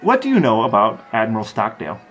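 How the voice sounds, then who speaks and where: A man's voice: on the low side, huge pitch swings, quick pace, a bit bright, soft background music. A man co-hosting a podcast in casual chat, reading out quotes and bits of trivia. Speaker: podcast co-host.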